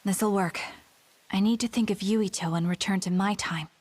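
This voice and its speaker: A woman's voice: medium pitch, moderate pace, a little mellow, close to an everyday voice. A woman playing a composed, determined young fighter in an English anime game dub. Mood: serious, composed.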